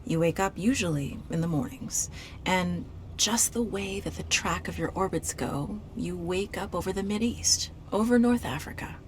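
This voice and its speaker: A woman playing a reflective astronaut in a game audio log, recalling spaceflight in a measured, wondering way. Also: on the low side, close to an everyday voice. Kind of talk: dramatic monologue, recited reminiscence. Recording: faint ambient noise behind voice. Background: faint game ambience.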